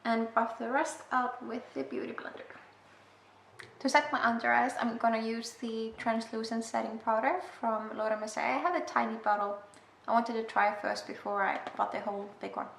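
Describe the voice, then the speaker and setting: A woman's voice: a little high, fairly even intonation, moderate pace, vocal fry, fairly bright tone. A young woman with an Icelandic accent, English her second language, talking casually through a makeup tutorial with chatty, self-deprecating asides. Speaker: makeup vlogger. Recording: echoey room with faint hiss.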